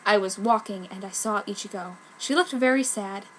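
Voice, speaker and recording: A woman's voice: medium pitch, normal speed, a bit bright, a little hiss or hum, rough recording. A woman reading fan fiction aloud as a dramatic narrator.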